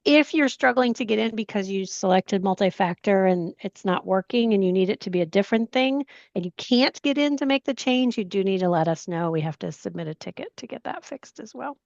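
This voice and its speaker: A woman who is a little hoarse, explaining tech support steps in a webinar Q&A. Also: mid-range voice, lively intonation, a little fast, hardly any pauses, a little mellow, no echo, highs cut off. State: hoarse, losing her voice.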